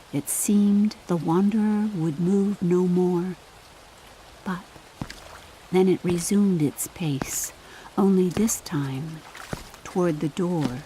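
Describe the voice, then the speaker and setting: Slow, low-voiced woman narrating a story. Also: dark tone, a little hiss or hum, low-quality recording. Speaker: audiobook narrator. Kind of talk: scripted dramatic story narration.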